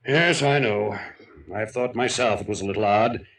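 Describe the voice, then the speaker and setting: An actor playing a weary, earnest male town mayor in a 1950s radio drama, speaking in a measured, careful, resigned way. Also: fairly low pitch, a bit bright, recorded from a distance. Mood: earnest, guarded. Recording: off-mic vintage radio recording.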